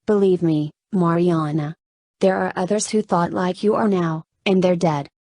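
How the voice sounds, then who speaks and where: A woman's voice: lowish voice, fairly even intonation, unhurried, darkish tone, no room sound. Woman acting a folksy Southern nurse, dry recording. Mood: urgent, warning. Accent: slight US Southern. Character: motherly, brisk hospital nurse woman, secretive and cautioning.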